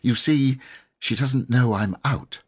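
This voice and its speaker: A man's voice: mid-range voice, slow pace, breathy edge, pitched above the performer's own voice. A man voicing an earnest, flustered young boy who stumbles and corrects himself politely in a storybook reading. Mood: earnest explaining. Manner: stumbling, self-correcting, polite schoolboy chatter.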